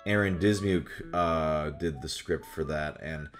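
Young adult male, low register, casual vlog host talking about his craft, animated delivery, soft music behind. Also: unhurried. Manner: animated, slips into exaggerated character voices. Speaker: voice actor and video host. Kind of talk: casual chat to camera about voice roles. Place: small furnished room at home, indoors.

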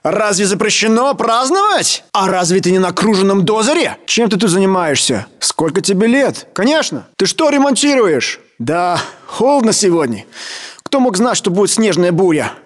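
A man's voice: high voice, sing-song, normal speed. A man playing a Russian soldier on base duty in a military video game. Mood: grumbling banter.

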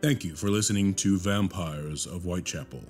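A man's voice: sits low, normal speed, darkish tone. A man announcing in a scripted style, reading the outro and credits of a podcast. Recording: music under the voice.

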